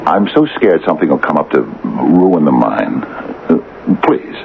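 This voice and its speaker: A man's voice: very deep voice, big pitch swings, measured pace, vocal fry, exaggerated voice. An actor playing an anxious, superstitious small-town man who pleads and stammers earnestly, in an old-time radio drama. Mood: fearful, pleading. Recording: dull, hissy vintage radio recording.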